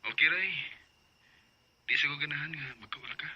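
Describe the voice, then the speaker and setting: An actor with a Cebuano accent playing a tender, coaxing young suitor in a radio drama. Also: male voice, fairly high pitch, fairly quick, sharp tone, highs cut off, slight background noise. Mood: tender, teasing.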